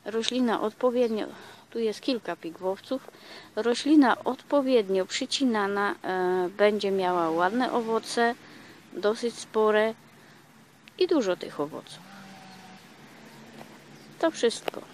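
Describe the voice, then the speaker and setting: A woman giving a casual explanatory talk for her gardening vlog, pausing often with filler sounds, recorded outdoors on a camera mic. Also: medium pitch, a little hiss or hum. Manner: hesitant, many filler sounds. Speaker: gardening vlogger.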